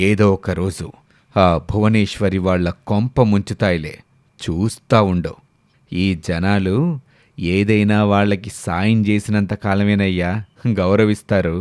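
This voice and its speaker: A man's voice: deep voice, a little mellow, no echo, pitched below the performer's own voice. A man voicing a bitter, jealous, miserly older village bigwig who grumbles resentfully in a moral story.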